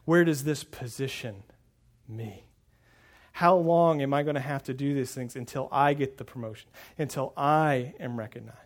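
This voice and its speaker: A man's voice: medium pitch, moderate pace. A man preaching a sermon on a lapel mic to a congregation. He is earnest and often repeats words as he starts a thought. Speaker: pastor. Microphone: wireless lapel mic pack.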